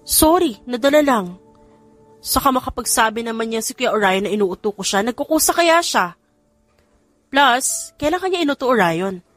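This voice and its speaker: A woman's voice: on the high side, animated, moderate pace, fairly bright tone, no echo, faint music behind. A woman with a Filipino accent voicing a sassy, indignant youngest sister in an audio story, bratty and challenging.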